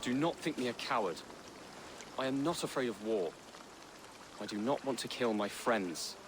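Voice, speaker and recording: A man's voice: medium pitch, expressive, normal speed, noisy background, close to an everyday voice. An actor playing an earnest, troubled young nobleman in a game cutscene, with a pleading delivery. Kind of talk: dramatic game cutscene acting.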